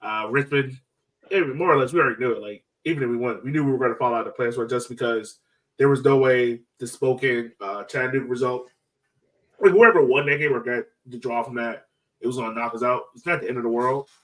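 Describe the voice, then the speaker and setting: A man co-hosting a soccer fan podcast, sounding a little away from the microphone. He talks in an animated, slangy, exclamatory way at a middling pitch. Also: quick pace, recorded from a distance, poor audio. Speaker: sports podcast host. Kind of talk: casual fan chat about soccer.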